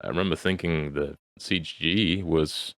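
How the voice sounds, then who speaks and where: A man's voice: very deep voice, fairly even intonation, measured pace, fairly bright tone, dry recording, studio quality. A man giving his opinions in a casual, blunt way as a podcast host. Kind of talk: casual opinionated group chat.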